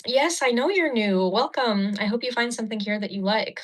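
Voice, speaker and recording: A woman's voice: on the high side, expressive, brisk. A woman hosting a casual livestream, chatting with viewers and laughing while she does her makeup, recorded on a phone. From Ukraine. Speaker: livestream host. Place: indoors at a mirror.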